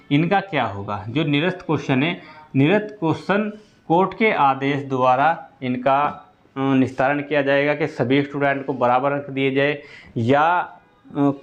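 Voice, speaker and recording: Higher-pitched man explaining, dull audio. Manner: repetitive, step-by-step explaining. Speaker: exam-update presenter for students. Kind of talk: informal explanatory update.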